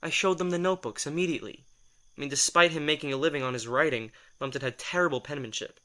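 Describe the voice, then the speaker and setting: A man's voice: fairly high pitch, quick pace, sharp tone. A man acting in an audio drama as a sardonic, exasperated assistant who reads minds, talking in a wry, defensive, rambling conversational way. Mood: matter-of-fact. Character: sardonic, exasperated male telepath, former personal assistant to a writer.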